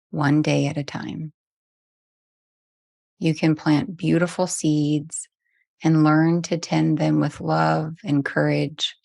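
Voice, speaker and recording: A woman guides a meditation in a low voice with soft creak at the edges. Her delivery is very even and gentle, leaving long pauses between phrases. The sound is close and dry. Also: no echo. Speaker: meditation teacher.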